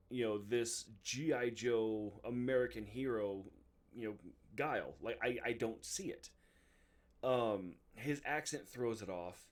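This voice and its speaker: A man's voice: lowish voice, recorded from a distance. A man hosting a video in a casual, opinionated ranting style, emphatic, exasperated and heavy on profanity. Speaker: video host reviewing movies. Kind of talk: casual opinionated rant to camera.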